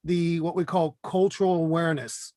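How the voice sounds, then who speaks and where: A man co-hosting a podcast, asking measured, articulate interview questions over a video call. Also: a little high, fairly even intonation, dark tone, dry recording, clean studio sound. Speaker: podcast co-host. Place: room with branded backdrop, indoors.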